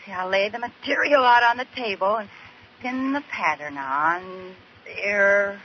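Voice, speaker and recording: An actress playing a scatterbrained, stubborn young American housewife with comic theatrical delivery in 1940s radio comedy style. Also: female voice, medium pitch, unhurried, natural voice. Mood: playful. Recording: noisy, muffled old radio recording.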